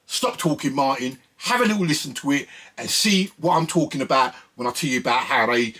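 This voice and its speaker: Husky man chatting casually. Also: mid-range voice, lively intonation, brisk, bright, forceful tone. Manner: conversational, addresses viewers directly with frequent "listen" and "yeah". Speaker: host of a music video series. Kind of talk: casual chat to viewers about records and samples.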